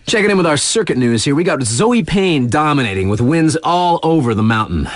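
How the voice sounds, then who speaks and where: A man's voice: fairly high pitch, no room sound, clean studio sound. An actor playing a laid-back, hyped young male radio DJ in a video game, with slangy, casual, emphatic patter.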